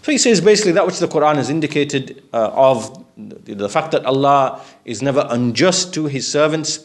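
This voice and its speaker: Man, fairly high-pitched voice, religious teacher, explanatory lecture style, didactic, questioning delivery. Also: animated, a little fast. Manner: didactic, checks understanding with questions.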